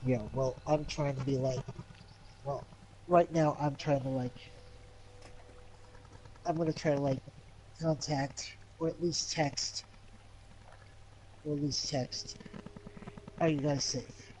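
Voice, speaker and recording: A man with a fairly high voice talks casually as a tabletop game player. He is hesitant and repeats himself, and there is faint noise in the recording. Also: fairly even intonation, slow, pauses often, a little mellow, rough recording.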